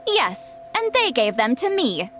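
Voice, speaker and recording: A woman voicing a small, brisk elder granny in a video game, matter-of-fact and sure of herself. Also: sits high, big pitch swings. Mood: brisk, proud. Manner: matter-of-fact, self-assured. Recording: music behind the voice. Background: music.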